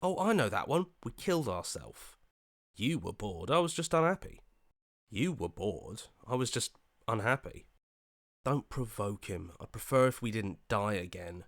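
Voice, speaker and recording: Anxious young hero, swinging pitch. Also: male voice, dry recording, studio quality. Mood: uneasy, wry. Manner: hesitant, stammering, pleading. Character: anxious, earnest young male hero, an inner voice. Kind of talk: video game voice acting.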